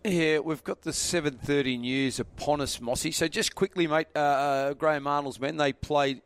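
A man co-hosting a radio show, brisk and playful in casual banter. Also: on the high side, fairly even intonation, clear, resonant tone. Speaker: radio co-host.

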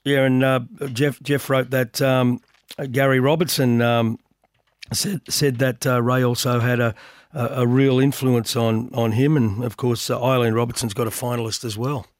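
Aussie radio host guy, bit husky, lots of ums, dry close studio sound. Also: medium pitch, flat delivery, normal speed, no echo. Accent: Australian. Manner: hesitant, many filler words. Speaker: radio racing show host. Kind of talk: radio interview and news roundup.

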